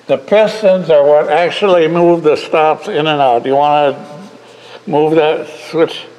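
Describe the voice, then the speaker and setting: Guy narrating a church tour on his camera, slow, halting, kinda high voice. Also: steady tone, a little mellow, rough recording. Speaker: church volunteer giving a video tour. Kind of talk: casual guided tour. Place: old church sanctuary. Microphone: handheld camera.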